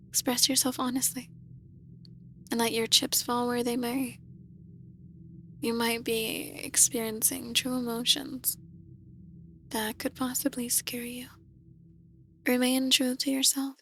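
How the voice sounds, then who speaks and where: A woman playing a soft-spoken, ominous demon tarot reader in an ASMR roleplay, speaking in a measured, breathy near-whisper. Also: on the high side, a little hiss or hum. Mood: solemn. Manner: slow, measured, gently ominous. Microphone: close, dry microphone.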